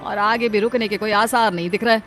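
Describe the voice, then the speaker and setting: A woman's voice: mid-range voice, steady tone, fairly quick, a bit bright, soft background music, natural voice. A woman voicing a poor male laborer, an earnest, protective husband in a cartoon. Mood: worried. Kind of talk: Hindi cartoon voice acting.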